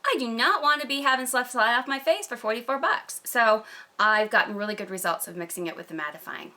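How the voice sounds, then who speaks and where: A woman with a slightly croaky voice from a cold, chatting casually and emphatically to camera as a gushing beauty vlogger. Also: fairly high pitch, lively intonation, a little fast, sharp tone. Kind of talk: casual product review chat to camera.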